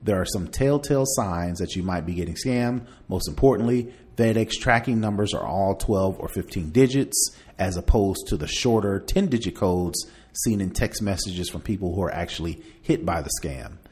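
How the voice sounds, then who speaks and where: A man's voice: low-pitched, lively intonation, normal speed, a little mellow. A man hosting a podcast, chatting casually through tech news and partly reading aloud, in a conversational way. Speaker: podcast host.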